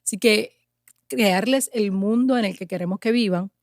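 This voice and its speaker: A woman's voice: medium pitch, moderate pace, no echo, clean studio sound. A woman in her forties, a pastor and mother, answering interview questions in an earnest, emphatic way. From Puerto Rico. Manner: earnest, emphatic, lists with building rhythm.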